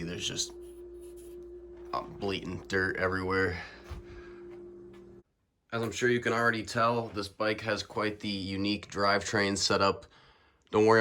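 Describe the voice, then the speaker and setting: A young man with a low-pitched voice, sniffly from the flu. He talks in a relaxed, explanatory way and fills his pauses with ums. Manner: relaxed, filler-heavy, explanatory. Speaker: bike vlogger. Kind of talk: casual bike check walkthrough.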